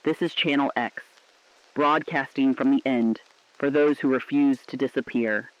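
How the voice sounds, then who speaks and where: A man playing a somber, resolute radio correspondent in a scripted doomsday broadcast, speaking deliberately and poetically. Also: mid-range voice, steady tone, close to an everyday voice. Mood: somber hope. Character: somber, resolute radio co-anchor reporting civilization's collapse. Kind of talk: scripted dramatic apocalyptic news broadcast. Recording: slightly dull, dry studio sound.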